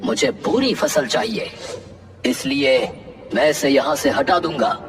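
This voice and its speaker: A man's voice: mid-range voice, lively intonation, unhurried, faint music behind, lightly acted. A man playing a strong, stubborn village wrestler in a Hindi cartoon, speaking in a blunt, domineering way.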